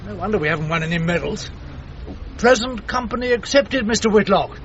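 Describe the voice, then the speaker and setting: An actor playing a pompous, blustering upper-class English knight in a radio drama, plummy and condescending. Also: male voice, high voice, animated, a little fast, a bit bright. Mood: scornful, blustering. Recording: muffled lo-fi radio recording, faint noise.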